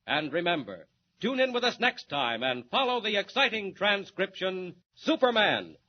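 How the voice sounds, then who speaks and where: A man's voice: high voice, very animated, normal speed, highs cut off. A man announcing and narrating a 1940s radio serial in a dramatic, declamatory way. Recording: scratchy 1940s radio transcription.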